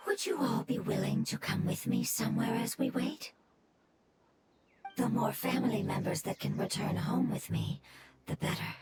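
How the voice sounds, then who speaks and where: A woman acting a sorrowful, breathy otherworldly creature. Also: on the high side, natural voice. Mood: earnest. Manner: formal, solemn, slow and deferential. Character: sorrowful, gentle contaminated creature longing for its lost homeland. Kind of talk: video game voice acting. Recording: music behind the voice. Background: game music.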